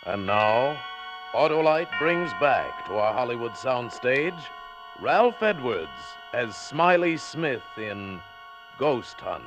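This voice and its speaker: A man announcing a radio drama in a slow, stately, dramatic style. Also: mid-range voice, very animated, highs cut off, over music.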